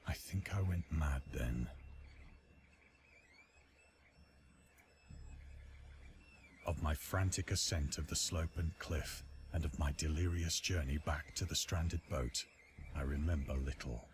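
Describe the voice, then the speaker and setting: An actor playing a haunted man who confesses his descent into madness, in grave, deliberate, literary narration. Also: extremely low pitch, noisy background. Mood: haunted, delirious. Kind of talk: dramatic scripted horror narration.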